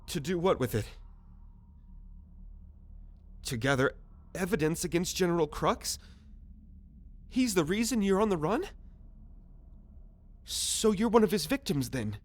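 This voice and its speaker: A young male alien captive, acted by a man in an ASMR roleplay drama. His voice is fairly high, and he speaks in a hesitant, excitable stammer, wary but earnest and polite. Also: exaggerated voice. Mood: curious, earnest.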